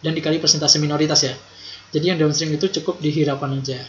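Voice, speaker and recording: A man's voice: a little high, level tone, fast. A young man gives an informal tutorial, speaking colloquially and repeating key points for emphasis. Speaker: accounting tutor. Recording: roomy echo, dull lo-fi, faint noise.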